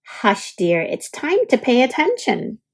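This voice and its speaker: A patient, polite lady schoolteacher from a storybook, voiced by a woman reader. She gives instructions firmly and slowly, with expressive swings in pitch. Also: exaggerated voice. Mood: gently firm. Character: polite, patient but firm female schoolteacher.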